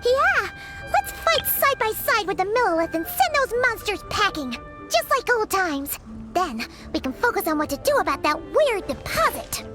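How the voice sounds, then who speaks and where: A woman voicing a tiny, childlike fairy companion in a video game, excitable, blunt and chatty, full of exclamations. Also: very high voice, moderate pace, over loud music. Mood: wary, eager. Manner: exclaiming, chatty, refers to herself by name.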